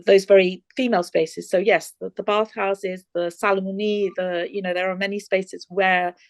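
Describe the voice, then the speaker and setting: Woman lecturer on a video call, gravelly voice, dry sound, thoughtful, pauses with fillers as she answers. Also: medium pitch, flat delivery, no room sound. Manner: thoughtful, hesitant with many fillers. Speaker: guest lecturer. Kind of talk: answering audience questions.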